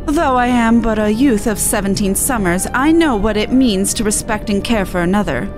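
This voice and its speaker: A woman's voice: medium pitch, expressive, normal speed, music in the background, close to an everyday voice. A woman voicing an earnest seventeen-year-old fantasy artisan with formal, archaic phrasing, reading game dialogue aloud. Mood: earnest encouragement.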